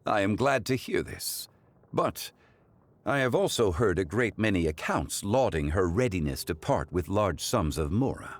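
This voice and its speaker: A man voicing a composed, ancient god in the guise of a scholarly gentleman for a game, speaking in measured, formal, reflective phrases. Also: on the low side, big pitch swings. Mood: calm, solemn. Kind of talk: scripted game character voice lines.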